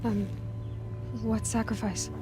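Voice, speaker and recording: An actress playing an earnest, blunt young outcast huntress in a video game, with a direct, questioning manner. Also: female voice, on the high side, flat delivery, slow pace. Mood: curious. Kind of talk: naturalistic video game acting. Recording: music behind.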